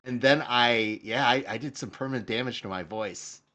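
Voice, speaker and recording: A man appearing as a guest game designer on a livestream, chatting in an animated, rambling way with many fillers while his voice recovers from a long loss. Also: medium pitch, moderate pace. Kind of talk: casual livestream chat.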